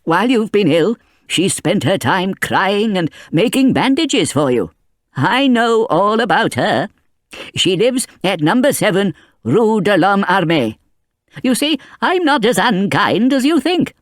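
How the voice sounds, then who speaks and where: A man reading an audiobook, voicing a frantic, grieving old grandfather in high, cracking outbursts. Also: big pitch swings, a little slow, no room sound, clean studio sound. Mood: doting.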